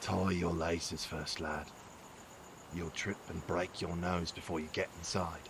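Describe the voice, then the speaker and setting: Guy acting tired gruff dad in an audio drama, real deep n slow, earnest, noisy outdoor night bg. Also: little pitch movement. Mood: gruffly resigned. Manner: measured, weary, earnest. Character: gruff, rueful father, wary of magic.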